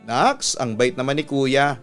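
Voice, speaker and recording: A man's voice: medium pitch, animated, a little slow, soft background music, close to an everyday voice. A man with a Filipino accent voicing a playful, teasing young woman in a love story.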